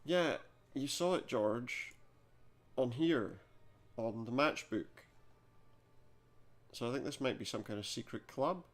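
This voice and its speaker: A man's voice: medium pitch, animated, slow pace, pauses often. A man giving casual let's play commentary on a game, with dry joking asides. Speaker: gaming video host.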